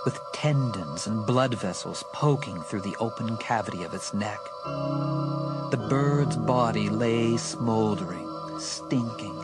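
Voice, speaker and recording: A man's voice: lowish voice, over loud music. A man narrating a horror audiobook in a dramatic, suspenseful scripted style. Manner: suspenseful, deliberate emphasis.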